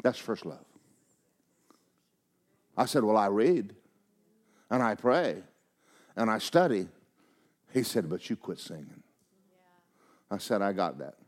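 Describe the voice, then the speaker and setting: An older man preaching a sermon, telling personal stories in a folksy, animated way with many restarts and acted-out quotes. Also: lowish voice, slow, pauses often. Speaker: pastor.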